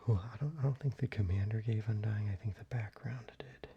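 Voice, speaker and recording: A man speaking in a soft, breathy whisper, gently rambling in a casual ASMR style. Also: on the low side, a little fast. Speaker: ASMR video creator. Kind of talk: soft-spoken ASMR, casual card-collection show-and-tell. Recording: muffled, with background hiss.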